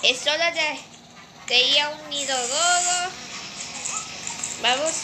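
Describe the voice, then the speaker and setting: Very high-pitched, excitable cartoon puppy, acted by a fan dubber. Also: slow pace. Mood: eager. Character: excitable dessert-obsessed cartoon puppy, young male. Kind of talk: amateur Spanish fan dub of a cartoon. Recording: music under the voice. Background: cartoon music.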